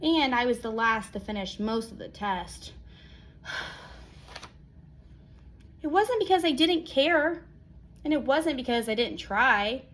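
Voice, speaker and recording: A woman reading a children's picture book aloud as a storyteller, expressive, with dramatic pauses and acted-out exclamations. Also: a little high, slight background noise. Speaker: read-aloud storyteller, teacher.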